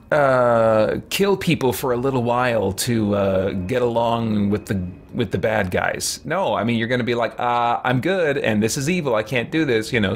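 A man in his forties giving casual, reflective, rambling gameplay commentary as a streamer. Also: medium pitch. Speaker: game streamer. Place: small room with shelves, indoors. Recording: game ambience as clear background noise.

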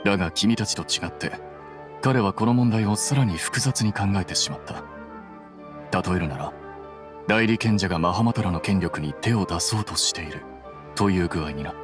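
A man playing a cool, aloof young scholar-official in an anime game, speaking in a measured, condescending deadpan. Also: low-pitched, lightly acted. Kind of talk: Japanese anime game voice acting. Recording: music behind the voice.

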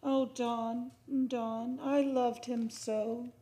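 A woman's voice: fairly high pitch, flat delivery, extremely slow, mellow. A woman playing a grieving, lovelorn young radio drama heroine with a plaintive delivery, repeating her words. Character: grieving, lovelorn woman weeping for a lost man. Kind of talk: live vintage-style radio drama acting. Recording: noisy live theater radio recording.

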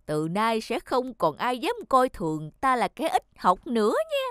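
Woman acting a pompous, high-pitched village show-off man. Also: very animated, unhurried, fairly bright tone. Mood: boastful. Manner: boastful, blustering, scolding. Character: pompous, short-tempered fat male village show-off. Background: music.

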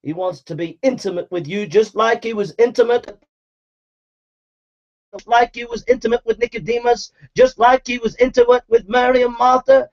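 A man with a high voice preaches hellfire, scolding and urgent, driving his points home through relentless repetition. The recording sounds muffled and lo-fi. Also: a little mellow. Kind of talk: fiery confrontational sermon.

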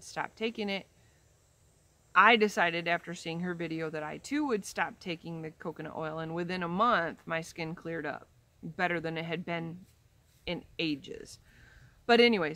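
A woman of about fifty talks casually to the camera while seated outdoors in a leafy yard. Her voice sits a little low, and she rambles along with ums and self-corrections. The sound is a simple camera recording, in the open air. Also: a bit bright. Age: 50s. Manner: chatty, rambling, frequent ums and restarts. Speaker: vlogger. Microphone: camera in front, head and shoulders framing.

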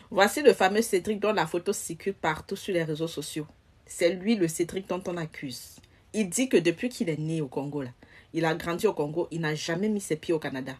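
Husky low-voiced woman commentator. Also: normal speed. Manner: emphatic, addresses viewers directly. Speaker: social media commentator. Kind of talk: casual commentary. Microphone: phone camera close up.

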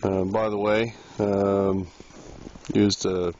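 A man casually narrating an ice-fishing trip, halting with many fillers, recorded outdoors on a frozen lake. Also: lowish voice, flat delivery, darkish tone. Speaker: outdoor vlogger. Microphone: handheld camera mic. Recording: wind noise on a lo-fi camera mic.

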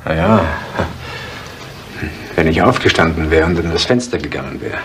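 Deep-voiced, evasive village husband. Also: male voice, expressive, moderate pace. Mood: uneasy, obliging. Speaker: actor in a crime radio play. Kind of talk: 1970s German radio drama acting. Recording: faintly noisy 1970s radio recording.

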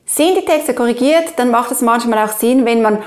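A woman in her forties with a Swiss German accent, explaining an app step by step in a clear, instructional manner. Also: on the high side, normal speed, darkish tone. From Switzerland. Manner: clear, instructional, addresses the viewer directly. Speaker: presenter of app tutorials. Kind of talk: step-by-step screen tutorial.

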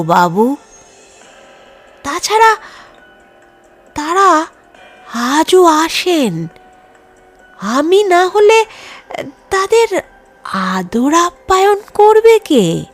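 Woman voicing a very slow, mournful ghostly priest. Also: high-pitched, exaggerated voice. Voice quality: melancholy tone. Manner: slow, halting, obsequious, drawn-out phrases. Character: ghostly old temple priest, humble, mournful, haunting. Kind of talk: dramatised audio horror story.

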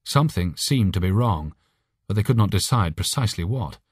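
A man's voice: fairly low pitch, lively intonation, brisk, slightly rough, no room sound, clean studio sound. A man reading scripted story narration as an audiobook narrator.

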